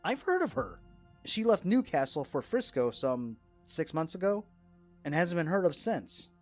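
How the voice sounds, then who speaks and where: An actor playing a wry, sarcastic sailor in a radio drama, with a casual, sardonic way of talking. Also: male voice, high-pitched, sing-song, unhurried, soft background music, exaggerated voice. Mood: curious. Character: wry, sarcastic male sailor, skeptical friend.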